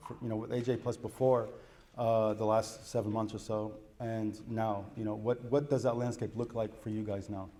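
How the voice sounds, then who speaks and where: Mellow man moderating a panel. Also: lowish voice, little pitch movement, normal speed. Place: conference hall.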